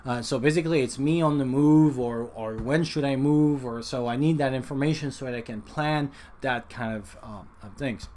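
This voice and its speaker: A man's voice: mid-range voice, a little mellow. A man talking off the cuff in a rambling, explanatory vlog style, often stopping to correct himself and restart his sentences. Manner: halting, frequent self-corrections and restarts. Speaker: vlogger, amateur radio hobbyist. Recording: noticeable background noise.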